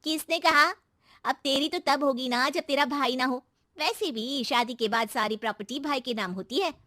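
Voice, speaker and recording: A woman's voice: sits high, normal speed, pushed and bright, dry recording, clean studio sound. A woman voicing a greedy, scheming young daughter in a moral story, with an insistent, coaxing manner. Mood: scornful. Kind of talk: acted moral-story dialogue.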